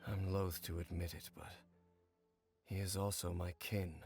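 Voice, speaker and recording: An actor playing a grave, aloof, world-weary swordsman in a video game, speaking formally and with measured solemnity. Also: low voice, level tone, gravelly, a little mellow, soft background music. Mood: grave, brooding.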